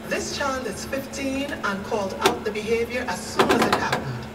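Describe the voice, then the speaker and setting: A woman acting as a deliberate, reflective narrator in a scripted radio drama. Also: on the high side, natural voice. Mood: approving. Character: solemn female narrator reflecting on children's suffering. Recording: echoey, distant, loud music underneath.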